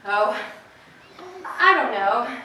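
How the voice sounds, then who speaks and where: Heard across a very echoey stage with faint noise, this is a timid sheriff's wife, acted by a woman. Her high voice moves in lively swings while staying polite and hesitant. She sounds dutiful and anxious about rules, as in a live amateur play. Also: drawn-out words, recorded from a distance, heavily acted. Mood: uneasy. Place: indoor theater stage, distant microphone.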